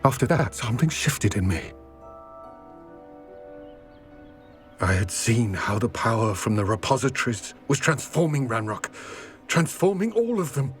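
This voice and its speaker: A man voicing a grave, weary goblin in a video game, speaking earnestly in measured, formal phrases with regret. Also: mid-range voice, animated, soft background music, lightly acted. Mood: regretful. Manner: measured, formal, solemn storytelling. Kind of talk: video game cutscene acting.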